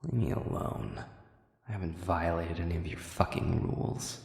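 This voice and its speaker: Man acting echoing, sullen, gravelly rogue vampire. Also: low-pitched, moderate pace, a little mellow. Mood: defiant, irritated. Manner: curt, snappish, insolent. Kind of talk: dramatic roleplay audio acting. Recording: distant, echoing reverberant hall effect.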